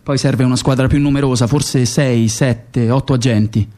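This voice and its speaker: An actor playing a cold, boastful Mossad commander in a radio drama, speaking deliberately and repeating himself for emphasis. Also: male voice, mid-range voice, fairly even intonation, dark tone, natural voice. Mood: businesslike. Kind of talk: radio theatre monologue.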